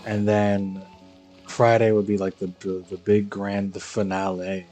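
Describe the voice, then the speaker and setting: A man's voice: fairly low pitch, flat delivery, measured pace, warm tone, soft background music. A man chatting casually as a game streamer, informal and half thinking aloud. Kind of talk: casual live-stream chat.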